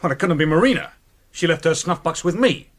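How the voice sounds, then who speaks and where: An actor playing a brash, excitable American knife-thrower in a radio drama, with slangy, animated talk and an American accent. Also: male voice, fairly high pitch, a little fast, close to an everyday voice. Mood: defensive.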